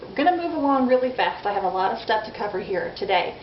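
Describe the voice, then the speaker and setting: A woman's voice: medium pitch, lively intonation, fast. A woman in her forties chatting candidly in a casual video diary, self-deprecating and frank. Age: 40s. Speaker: vlogger. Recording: dull lo-fi audio in an echoey room with faint noise.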